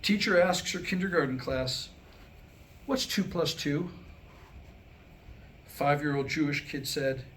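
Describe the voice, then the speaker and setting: A man's voice: fairly high pitch, slow, pauses often, fairly bright tone. A man in his sixties performing a staged reading of jokes and comic monologue, wryly acting out the dialogue. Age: 60s. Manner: wry joke-telling, acting out quoted dialogue. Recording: live room recording with background noise.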